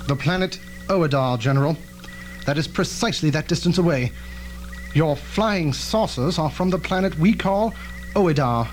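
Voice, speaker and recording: Guy acting a cautious science doctor in an old radio play, pretty high voice, formal n precise, hummy tape. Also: lively intonation, moderate pace, close to an everyday voice. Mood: solemn. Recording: noisy old tape with hum.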